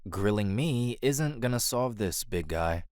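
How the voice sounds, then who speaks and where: A man as a low-voiced cheeky young crusader. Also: animated, a little slow, a little mellow, no echo, clean studio sound. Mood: flippant. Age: young adult. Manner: casual, flippant, cajoling. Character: slack, cheeky, ambitious young male crusader. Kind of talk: fantasy audio drama acting.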